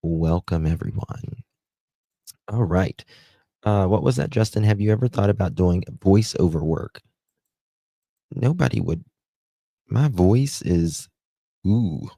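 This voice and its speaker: A man with a Southern US accent hosting a live stream, chatting slowly with viewers and reading their comments aloud. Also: low voice, lively intonation, gravelly, dark tone, dry recording, studio quality. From United States. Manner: slow, chatty, drawling, talks to viewers by name. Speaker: livestream host.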